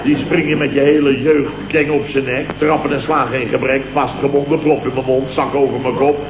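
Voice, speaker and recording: A man's voice: mid-range voice, fairly even intonation, a little fast, natural voice. Man acting an indignant boss, muffled old radio. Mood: sarcastic, indignant. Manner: blustering, exasperated, sarcastic. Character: indignant, sarcastic male office boss telling his night's ordeal. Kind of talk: 1970s Dutch radio comedy acting. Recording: muffled, noisy, roomy old radio recording.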